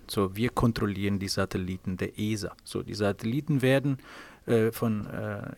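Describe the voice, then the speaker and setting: A middle-aged Italian man explains his work in halting German, stopping often with filler sounds between phrases. His voice is gravelly and mid-pitched, with a strong Italian accent. The sound is close and dry, with almost no room in it. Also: no room sound. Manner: hesitant, many filler sounds. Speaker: space mission software engineer. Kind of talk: interview answers in non-native German. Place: office at a space operations centre.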